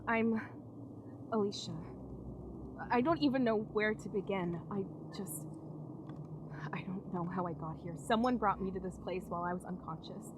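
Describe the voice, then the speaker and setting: A woman's voice: medium pitch, normal speed, pauses often, natural voice. An actress playing a distraught but determined mother and survivor in a video game, earnest and shaken. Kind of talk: video game dialogue acting. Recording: noisy game audio with cricket ambience.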